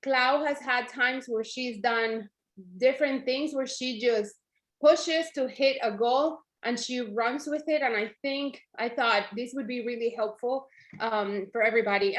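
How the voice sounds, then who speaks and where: A woman's voice: on the high side, normal speed. A woman hosting a team video call who introduces a guest in an upbeat, friendly way. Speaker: team leader and call host. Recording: echoey room on a video call.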